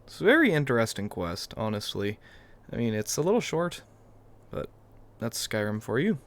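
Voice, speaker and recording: A man's voice: medium pitch, sing-song, moderate pace. A man hosting a gaming video, giving casual roleplay commentary as he plays. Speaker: gaming video host and player.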